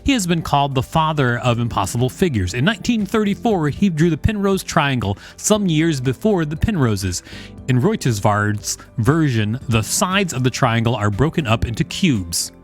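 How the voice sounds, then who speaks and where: A man's voice: medium pitch, very animated, moderate pace, faint music behind. A man co-hosting a podcast, reading encyclopedia text aloud in a conversational way with casual asides. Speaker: podcast co-host.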